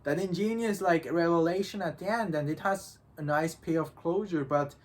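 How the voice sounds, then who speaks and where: Guy with kinda high voice, chatting as a reviewer, halting, repeats himself and trails off. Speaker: visual novel reviewer and co-host. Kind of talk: casual discussion.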